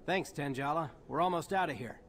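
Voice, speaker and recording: A man's voice: fairly high pitch, a little fast, a bit bright. A man playing a brash human soldier in a video game, talking in a casual, cocky, blunt way. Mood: casual, wry. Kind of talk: video game character acting. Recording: clear noise behind the voice.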